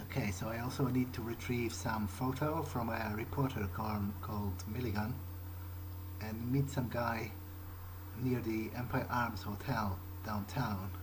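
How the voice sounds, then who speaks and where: A man playing a hesitant, protective vampire in an improvised video game roleplay, speaking in a halting, understated way. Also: medium pitch, fairly even intonation, close to an everyday voice. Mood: wry musing. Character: hesitant, awkward, protective male vampire, musing aloud. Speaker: gamer playing in character. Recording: noisy recording with game ambience.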